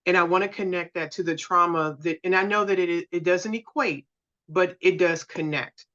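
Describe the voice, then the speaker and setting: A woman's voice: fairly low pitch, moderate pace, fairly bright tone, dull audio. A woman hosting a podcast, asking earnest, searching questions in a casual interview over a video call. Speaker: podcast host.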